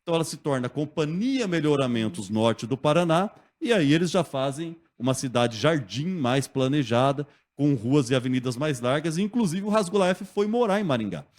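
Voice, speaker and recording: A man telling local history as a guest in a radio studio, lively and conversational, often checking in with the listener. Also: on the high side, normal speed, hardly any pauses. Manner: lively storyteller, acts out quotes, often asks "né?".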